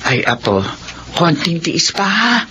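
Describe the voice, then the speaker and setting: Doting old Filipino lola for radio drama: bright, forceful, teasing, faint noise behind. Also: female voice, lowish voice, very animated, a little slow, full character voice. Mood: gentle, fond. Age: older. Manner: affectionate, chatty, exclaiming.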